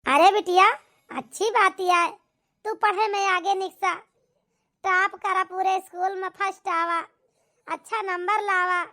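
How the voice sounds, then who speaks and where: A woman voicing a doting, gentle village mother in a homemade cartoon, with an Awadhi accent and a pitched-up, coaxing delivery. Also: fairly even intonation, measured pace, full character voice. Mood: proud, hopeful. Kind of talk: homemade cartoon comedy-drama.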